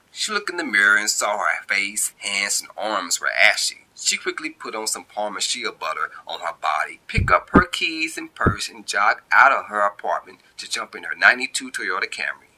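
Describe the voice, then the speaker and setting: A man's voice: lowish voice, bright, forceful tone, breathy edge, rough recording. A man narrating a scripted short story of his own in informal vernacular phrasing. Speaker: amateur author reading own story.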